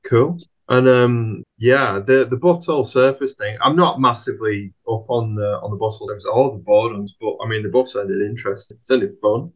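A man's voice: medium pitch, fairly quick, few pauses, dull audio. A man chatting casually as a podcast panellist, tentative and often questioning. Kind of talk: casual chat.

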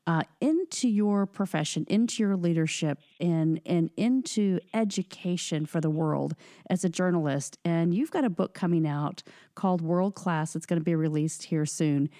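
A woman hosting an interview podcast, welcoming her guest effusively and asking long, slightly halting questions. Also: on the low side, dark tone, no echo, studio quality. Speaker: podcast host.

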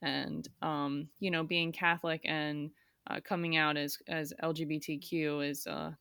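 A woman's voice: sits low, level tone, measured pace, fairly bright tone, smooth, dry recording, clean studio sound. A woman interviewing a guest, asking rambling, hesitant questions full of fillers.

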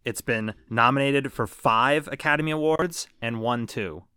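A man's voice: medium pitch, normal speed, sharp tone, clean tone, no echo, studio quality. A man in his thirties co-hosting a casual movie podcast, joking and swearing freely. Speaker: podcast co-host.

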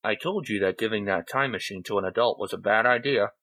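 Man as a grumbling, husky big tiger. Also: fairly low pitch, fairly quick, pushed and bright. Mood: aggravated. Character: huge furry male tiger, aggravated and sarcastic.

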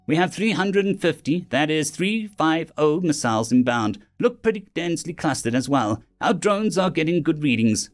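Precise, brisk sensor officer played by a male narrator, sci-fi audiobook, pitched high, music underneath. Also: expressive, natural voice. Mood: alert. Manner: crisp factual reporting. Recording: music behind the voice.

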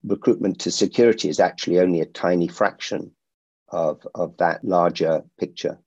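Deep, mellow-voiced man in an interview. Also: slightly rough, no room sound, lo-fi sound. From India. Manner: measured, with frequent restarts and fillers. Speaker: private security company founder. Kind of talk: interview answers.

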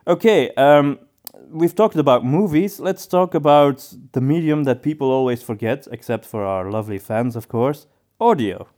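A man's voice: medium pitch, moderate pace, darkish tone. A man speaking as a podcast co-host in a casual discussion, giving his opinions as he thinks aloud.

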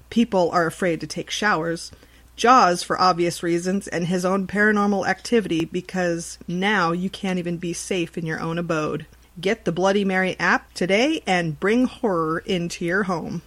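A woman's voice: lowish voice, a bit bright. A woman reading a scripted report as a podcast host. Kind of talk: scripted news report narration.

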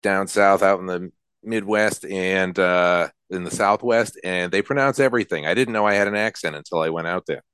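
Male podcast host, jokey and teasing, deep smooth voice, dry close sound. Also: moderate pace, a bit bright, no room sound. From Rhode Island. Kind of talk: casual interview conversation.

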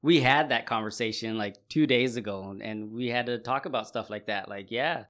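A man's voice: medium pitch, moderate pace, fairly bright tone. A man hosting a casual conversation, speaking informally and expressively. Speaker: host.

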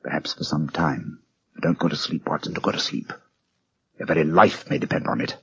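An actor in an old radio drama playing a commanding gentleman detective, British, middle-aged, with a crisp, declamatory delivery. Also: a bass voice, a little fast, exaggerated voice. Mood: hushed, tense. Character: brilliant, commanding gentleman detective, coolly grave and theatrical. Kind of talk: 1940s radio drama acting. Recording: muffled, lo-fi old radio recording.

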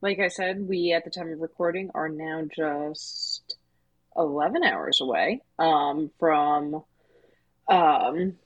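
A woman's voice: low-pitched, slow pace, dry recording, highs cut off. A woman co-hosting a podcast, talking earnestly and conversationally in a casual review discussion. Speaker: podcast co-host.

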